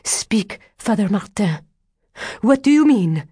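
A woman's voice: slow, dark tone, no room sound, studio quality. A woman voicing a fierce, jealous and desperate peasant woman in an audiobook, speaking with intense exclamations. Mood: urgent, demanding. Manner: intense, exclamatory, pitched up in agitation. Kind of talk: audiobook character dialogue.

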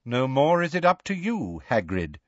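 A man voicing a proud, stern male centaur in a storybook, speaking in a formal, measured and calmly menacing way. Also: medium pitch, dry recording, highs cut off, pitched above the performer's own voice. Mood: calm, commanding.

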